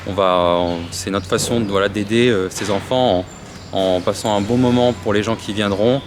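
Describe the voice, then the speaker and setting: A man with a fairly low voice speaks earnestly about a charity's work, pausing and restarting as he explains. He is recorded outdoors in a city, with clear traffic and street noise behind him. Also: steady tone. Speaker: charity association representative. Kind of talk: interview statement.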